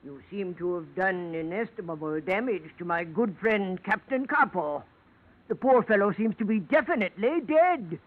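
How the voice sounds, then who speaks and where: A man's voice: high-pitched, animated, moderate pace, slight background noise. An actor playing a courtly, shifty ship-salvage man in a 1940s radio detective drama, speaking in florid, mock-polite, orotund phrases. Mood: menacing politeness. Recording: dull, band-limited old radio recording.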